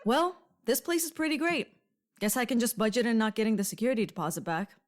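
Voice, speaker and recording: A woman's voice: fairly high pitch, big pitch swings, quick pace. A woman playing a wry, techy secret agent, sardonic and casual, in an audio comedy drama.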